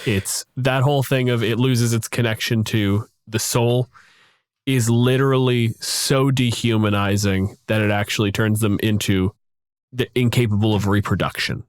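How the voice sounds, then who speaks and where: A man's voice: lowish voice, fairly even intonation, normal speed, clean tone, dry recording, clean studio sound. A man on a podcast explaining things in casual conversation.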